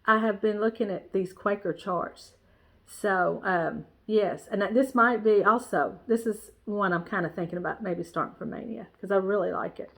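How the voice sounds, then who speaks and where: A woman's voice: medium pitch, normal speed, gravelly. Congested woman chatting. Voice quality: nasal. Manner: rambling, warm, many fillers and restarts. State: stuffy, congested nose. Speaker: cross-stitch vlogger. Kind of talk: casual show-and-tell chat.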